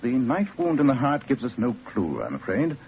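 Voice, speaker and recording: An actor playing a brilliant, cool English detective with a precise, superior manner in 1940s radio drama. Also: male voice, medium pitch, huge pitch swings, normal speed. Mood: coolly analytical. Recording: dull, noisy old radio recording.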